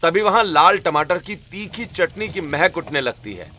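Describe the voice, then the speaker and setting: A man narrating a scripted cartoon story in an expressive storytelling way, with music behind him. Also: on the high side, moderate pace, a little hiss or hum. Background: background music.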